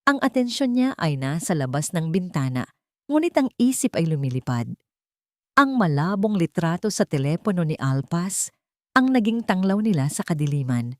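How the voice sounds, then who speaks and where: A Filipino woman narrates a dramatic love story in a somewhat low voice. The recording is dry, with hardly any room sound. She delivers it as scripted storytelling. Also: very animated, normal speed, a little mellow, dry recording.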